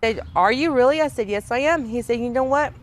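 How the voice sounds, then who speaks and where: A woman speaking in an interview clip, recounting events in a halting, hesitant way. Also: fairly high pitch. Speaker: mother interviewed in a documentary clip. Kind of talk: interview testimony.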